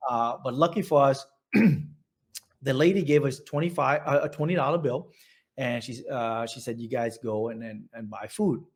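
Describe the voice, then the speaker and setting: A man's voice: medium pitch, normal speed. Vietnamese-accented man telling his story. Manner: rambling storytelling with many restarts and fillers. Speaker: interviewee. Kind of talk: oral history interview.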